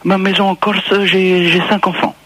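A man with a Corsican accent calling in to a comic radio show, answering in a deadpan way. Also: sits high, normal speed, husky, rough recording. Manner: deadpan, keeps repeating "tout à fait". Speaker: caller. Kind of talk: prank call-in banter.

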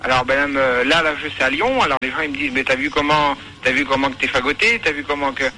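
A man's voice: mid-range voice, fast talker, sharp tone. A man in his twenties with a rural western French accent, calling in to a radio show and talking in hesitant stops and starts, repeating his words. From countryside near Nantes, France. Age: 20s. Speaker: radio caller. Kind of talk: phone-in chat on a radio show.

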